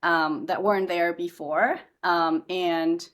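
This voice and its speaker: A woman's voice: on the low side, steady tone, slow, fairly bright tone. A young woman from India, a startup founder, talking through her story as a podcast guest, chatty, with many fillers and restarts. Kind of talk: casual podcast interview.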